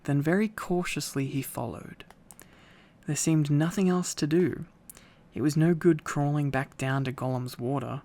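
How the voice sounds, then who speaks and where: A man narrating a storybook in a relaxed bedtime reading style. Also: on the high side, unhurried.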